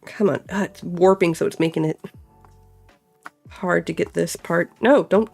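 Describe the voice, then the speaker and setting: Young female vlogger, a touch low-pitched, loose thinking-aloud chat over faint background music. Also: measured pace, vocal fry, darkish tone, slightly rough. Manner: chatty, thinks aloud, many fillers like "like". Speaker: crafting vlogger. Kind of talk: casual chat while crafting.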